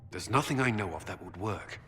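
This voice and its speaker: A pragmatic army commander in a fantasy game. His lowish voice is stern and forceful, ringing with long hall reverb. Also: expressive, brisk, a little hiss or hum. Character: stern, cautious male military commander. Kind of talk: video game voice acting.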